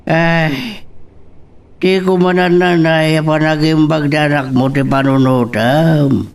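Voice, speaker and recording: A man's voice: a little high, steady tone, slow, smooth. A man with an Ilocano Filipino accent playing an evasive, apologetic elderly father in an audio drama, speaking hesitantly. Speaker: actor in an Ilocano radio-style drama. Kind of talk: amateur audio drama acting.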